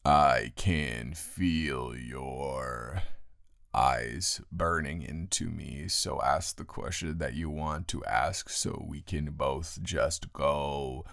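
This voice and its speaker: A man playing a tired, grumpy but secretly soft roommate in an improvised intimate audio roleplay, talking straight to the listener in a halting, teasing drawl. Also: extremely low pitch, creaky, dry recording, clean studio sound, heavily acted. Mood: grumpy, giving in.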